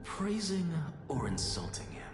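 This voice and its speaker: A man's voice: high-pitched, big pitch swings, unhurried. A man playing a haughty, sardonic professor in a video game, speaking in a dry, articulate, mocking way. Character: sardonic, haughty heretic scholar and professor, male. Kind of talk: scripted video game acting. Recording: echoey, music behind.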